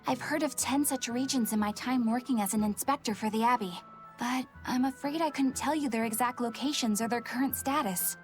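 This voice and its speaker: A woman's voice: a little high, a little fast, faint music behind, natural voice. An actress playing a dutiful female inspector in a video game, explaining things formally and precisely. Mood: explanatory.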